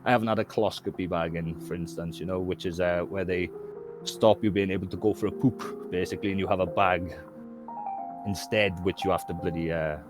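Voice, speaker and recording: A man's voice: deep voice, steady tone, a little fast, music in the background. A man from Wales with a Welsh accent, a guest talking candidly in casual conversation, rambling and often asking "do you know what I mean".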